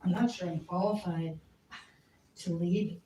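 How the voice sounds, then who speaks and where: A woman's voice: lowish voice, fairly even intonation, a little slow, mellow. An American woman giving a civics talk, answering questions from the audience in a candid, chatty, self-deprecating way. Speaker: civics education speaker. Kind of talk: casual Q&A at a community talk. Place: community meeting room. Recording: echoey room from a distance, faint noise.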